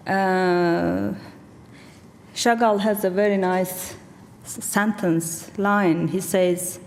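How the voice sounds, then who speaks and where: A woman's voice: medium pitch, a little mellow. A woman in her forties, a poet whose first language is Kurdish, speaking English with a Turkish accent, answering thoughtfully on a festival panel into a table microphone. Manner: slow, thoughtful, searching for words. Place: large hall with audience. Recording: roomy hall reverb, faint room noise.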